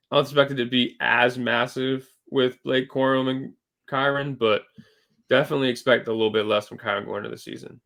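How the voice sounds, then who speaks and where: A man co-hosting a casual sports podcast, talking loosely with fillers and self-corrections, a little hoarse from losing his voice. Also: medium pitch, fairly bright tone. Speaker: podcast co-host.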